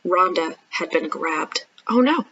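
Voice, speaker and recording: A woman hosts a read-aloud for young children. She speaks at an unhurried pace and addresses the kids directly, often inviting answers. The sound is a little dull and lo-fi. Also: lowish voice, expressive, fairly bright tone, slightly rough. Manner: child-directed, asking questions and pausing for answers. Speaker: children's librarian hosting storytime.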